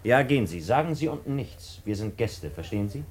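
An officious state security man in a radio drama. His voice is fairly low with lively movement, and he speaks in clipped, commanding phrases. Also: brisk. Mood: quietly commanding. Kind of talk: German radio drama acting.

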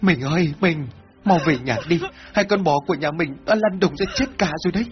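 A man voicing a panicked, out-of-breath village wife with a very high, strained voice. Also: fairly quick, natural voice. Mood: frantic. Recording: dull lo-fi with faint music.

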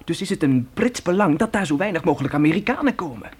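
A man's voice: fairly high pitch, expressive, fast talker, lightly acted. An earnest, urgent adolescent boy acted by a man takes command of his pioneer family in a radio play. His intonation moves about a great deal, and there is a faint hiss on the old recording. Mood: grave, urgent. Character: determined adolescent pioneer boy leading his siblings westward.

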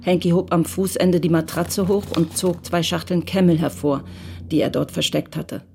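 A woman giving calm, measured scripted narration for a radio crime drama. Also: lowish voice, vocal fry, slight background noise.

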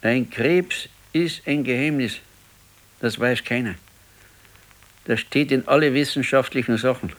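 Actor as slow-talking, brooding old Bavarian pensioner. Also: male voice, mid-range voice, a bit bright, natural voice. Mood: stubborn, brooding. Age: older. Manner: slow, ruminating, stubborn. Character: brooding, discontented old pensioner, former electrician, husband. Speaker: radio drama actor. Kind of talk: 1970s naturalistic dialect radio play.

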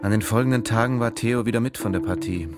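A man's voice: on the low side, quick pace, over loud music, close to an everyday voice. An actor playing a guarded diving instructor who narrates his story soberly and laconically in a radio drama. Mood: measured.

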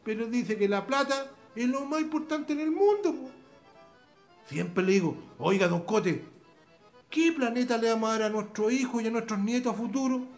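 A plain-spoken Chilean countryman acted by a man for radio theatre, scornful of the rich. His voice sits high and leaps about in pitch, with drawling exclamations over a music bed. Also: expressive. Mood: resigned, indignant. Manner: folksy rural speech with drawn-out exclamations. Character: outspoken rustic farmhand, idealistic and plain-spoken, scornful of the rich. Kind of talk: radio drama acting.

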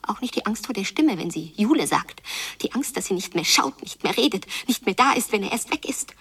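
A woman playing a frightened daughter in a radio drama, thinking aloud in hushed, breathy fragments. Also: mid-range voice, expressive, brisk, recorded from a distance. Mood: fearful. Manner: hushed, breathy interior monologue in clipped fragments. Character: Jule, frightened daughter brooding over her quarrelling parents. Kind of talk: literary radio drama monologue.

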